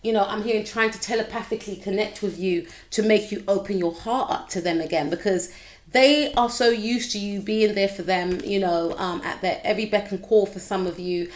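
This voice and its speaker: A woman with a slightly croaky voice giving a chatty tarot reading straight to her viewers, often checking in with them. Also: mid-range voice, fairly quick. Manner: chatty, repetitive, addresses viewer directly. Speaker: tarot reader. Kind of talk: casual tarot reading to camera.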